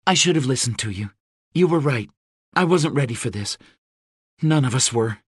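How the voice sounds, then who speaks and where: A woman's voice: extremely low pitch, expressive, normal speed, breathy edge, dry recording, studio quality, voice pushed up, heavily acted. A male narrator voicing a fierce young woman who leads mercenaries under siege, blunt and swearing freely. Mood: defiant, weary. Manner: sharp-tongued, swearing, blunt.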